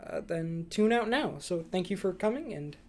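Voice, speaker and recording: A man's voice: sits high, animated, moderate pace. A young man hosting a casual movie review podcast, chatting with dry, flippant humour. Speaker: podcast host.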